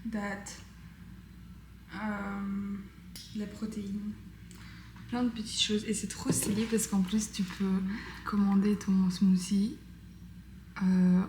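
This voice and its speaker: A woman's voice: mid-range voice, darkish tone. A woman, chatting casually in a vlog with lots of fillers. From Belgium. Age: 20s. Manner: chatty, many fillers, enthusiastic. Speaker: vlogger. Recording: echoey room with faint noise.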